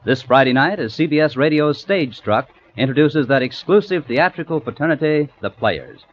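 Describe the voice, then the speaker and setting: A man's voice: a little high, expressive, moderate pace. An American man delivering scripted announcements in a mid-century radio style. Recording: muffled vintage radio recording.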